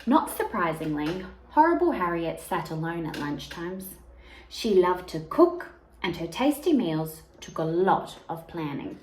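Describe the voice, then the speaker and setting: A woman reads a children's story aloud in a lively, swooping voice. She takes her time and stretches important words for young listeners. The room is echoey, with slight noise behind her. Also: fairly low pitch. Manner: expressive, deliberate, drawn-out emphasis on key words. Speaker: teacher reading a picture book aloud.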